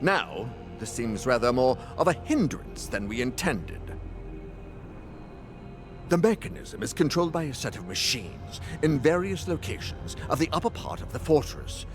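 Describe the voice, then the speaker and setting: A man acts a composed strategist in a fantasy game. The voice is medium in pitch with lively movement. The delivery is deliberate and explanatory, over faint music. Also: natural voice. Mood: instructive. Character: composed male strategist briefing warriors. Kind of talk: dramatic video game acting.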